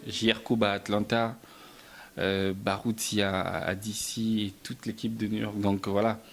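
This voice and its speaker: A man from Belgium answering interview questions about his music and films, soft-spoken, often stumbling and repeating words. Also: fairly low pitch, flat delivery, measured pace, slight background noise. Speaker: musician and film writer, interview guest.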